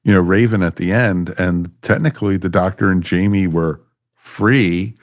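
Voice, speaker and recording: A man in a casual podcast panel discussion, speaking as a reviewer in a halting way and rephrasing mid-sentence. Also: low voice, dry recording, clean studio sound.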